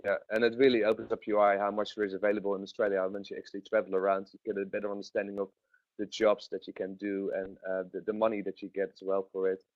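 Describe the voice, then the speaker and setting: A man's voice: on the low side, monotone, fast, no room sound. Rambling man, dry dull sound. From Netherlands. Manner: rambling, many fillers and restarts. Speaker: guest. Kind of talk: webinar interview.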